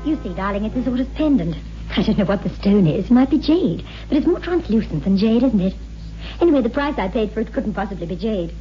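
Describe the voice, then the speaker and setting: An actress plays a breezy, sceptical wife in a vintage radio play. She chatters briskly in an airy, dismissive manner, on a dull old recording with hum. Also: mid-range voice, lively intonation, natural voice. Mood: breezy, chatty. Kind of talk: 1960s radio drama acting.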